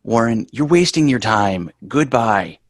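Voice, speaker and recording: An exasperated, sarcastic schoolboy from a sitcom, read by a man in a medium-pitched voice. He bosses people about with dry wisecracks, and the sound is close and dry. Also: animated, measured pace, no room sound. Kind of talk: live sitcom table read.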